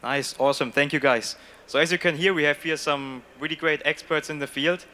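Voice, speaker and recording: A man's voice: a little high, fairly even intonation, a little fast, bright, forceful tone. A man with a German accent moderating a conference panel, upbeat and chatty with many fillers. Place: conference stage.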